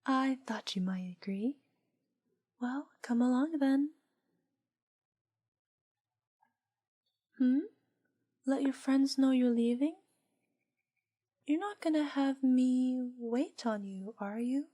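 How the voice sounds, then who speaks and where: A woman playing a teasing, controlling witch in an intimate audio roleplay, breathy and deliberate, often dropping into whispers. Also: high-pitched, dark tone. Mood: sweetly coaxing. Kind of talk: intimate roleplay audio drama, hypnosis ASMR.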